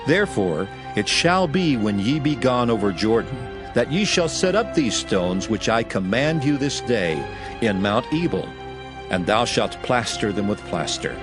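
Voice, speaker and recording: Man as animated, solemn biblical lawgiver Moses. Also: medium pitch, a little slow, music in the background. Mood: solemn instruction. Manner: solemn, exhorting. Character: Moses, earnest lawgiver instructing his nation. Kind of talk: dramatized King James Bible reading.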